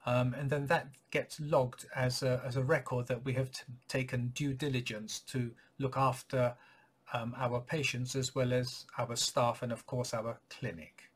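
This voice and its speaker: A man in his forties, a clinic practitioner, giving patients an informational update in a measured, polite, slightly husky voice. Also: mid-range voice, little pitch movement. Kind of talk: informational announcement to camera. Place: small home office or study, indoors.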